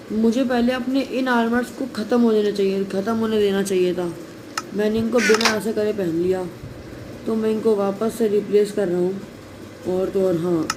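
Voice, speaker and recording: A man with a sore throat talks his viewers through a game in a loose, chatty way, pausing now and then to clear his throat. The recording is noisy, with clicks running underneath. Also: high voice. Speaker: gameplay video creator. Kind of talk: casual gameplay commentary.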